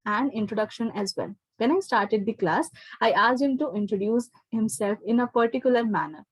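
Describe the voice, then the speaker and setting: Indian-accented woman teacher, higher-pitched, slightly husky. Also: dry recording, low-quality recording. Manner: frequent "okay" and "right?" check-ins. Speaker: teacher giving feedback to a parent. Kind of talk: explanatory talk on a video call. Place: indoors, online class video call.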